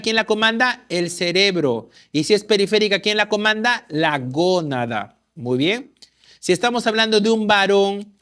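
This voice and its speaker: A man's voice: high-pitched, fairly bright tone. A man tutoring medical students, walking through exam questions and answering his own questions.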